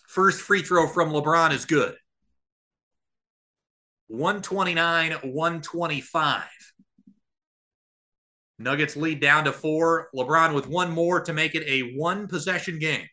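Guy doing live radio basketball commentary, kinda high voice, super bright and punchy, gets excitable. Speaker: play-by-play announcer.

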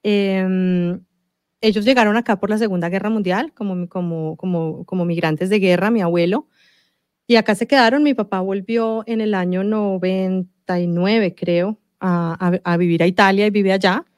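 A woman's voice: mid-range voice, fairly even intonation, normal speed, a little mellow, no echo, clean studio sound. A middle-aged woman from Colombia with a Colombian accent, chatting as an interview guest with many fillers and restarts. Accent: Colombian Spanish. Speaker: singer-songwriter guest. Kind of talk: casual interview conversation.

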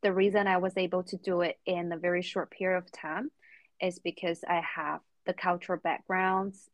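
Low-pitched young woman, English speaker. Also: level tone, no echo. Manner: halting, careful phrasing in non-native English. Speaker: podcast guest, recent therapy student. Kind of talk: reflective interview answers.

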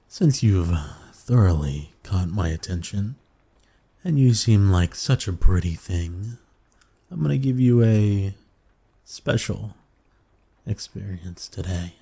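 Deep, breathy incubus seducer, acted by a man. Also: dark tone, heavily acted. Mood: teasing, smug. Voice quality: low, breathy, husky.

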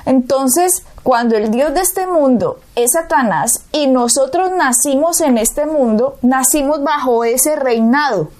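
A woman's voice: sits high, a little slow, hardly any pauses, a little mellow. A Colombian woman co-hosting a Bible talk show, teaching emphatically and asking rhetorical questions. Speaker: co-host.

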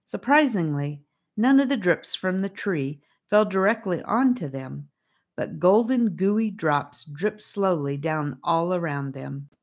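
A woman's voice: fairly low pitch, lively intonation, a little slow. A woman reading a children's fantasy adventure as an audiobook narrator.

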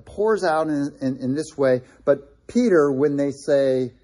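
Middle-aged male preacher, husky and warm-toned, chatty sermon delivery with asides to the congregation. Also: a little high, slow. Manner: conversational, with frequent restarts and asides to the congregation. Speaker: pastor.

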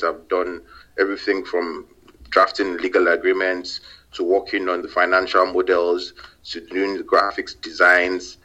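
A man's voice: sits low, pushed and bright. A man, a company founder, answers interview questions in a casual, hesitant way, full of fillers and repeated words. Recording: dull lo-fi audio.

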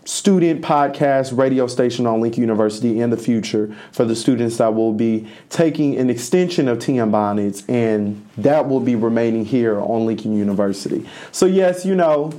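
A man's voice: mid-range voice, moderate pace, few pauses, warm tone. A young college man co-hosting a student podcast, talking casually with many fillers and restarts, his voice a bit strained after losing it. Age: young adult. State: slightly strained voice. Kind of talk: casual chat.

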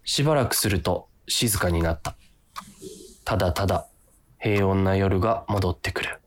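A man's voice: sits low, normal speed, slight background noise, natural voice. A man playing an earnest, flustered office worker who sees himself as a hero, acting and narrating a radio drama. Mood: resolute narration. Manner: dramatic inner monologue, earnest.

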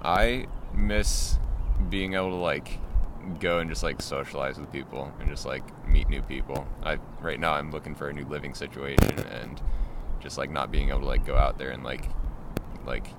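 A young man giving casual interview answers in a halting way, with lots of fillers. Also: very deep voice, steady tone. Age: young adult. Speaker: interviewee, student. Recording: loud outdoor background noise.

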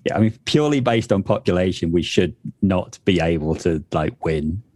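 A middle-aged man chats easily as a podcast co-host, his voice very low. His sentences loop and restart as he talks. The sound is close and dry, with no room around it. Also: moderate pace, darkish tone, no room sound. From England. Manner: chatty, with frequent restarts and fillers. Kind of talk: casual chat.

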